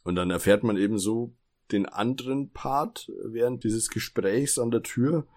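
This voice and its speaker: A man's voice: medium pitch, unhurried, darkish tone. A man co-hosting a podcast, casually retelling an audio drama episode and paraphrasing the characters' dialogue. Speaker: podcast co-host.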